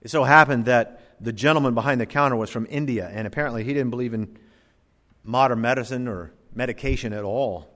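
A middle-aged male pastor preaching a sermon in a church, moving between loud emphatic bursts and quiet, low asides. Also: fairly quick. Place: church sanctuary. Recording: church hall reverb.

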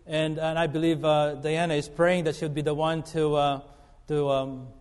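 Brief: middle-aged man, guest preacher, slightly high voice, earnest and halting, church hall reverb. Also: little pitch movement, a little mellow, clear, resonant tone. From Philippines. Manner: earnest, halting with fillers and restarts. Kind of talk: sermon. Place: church sanctuary.

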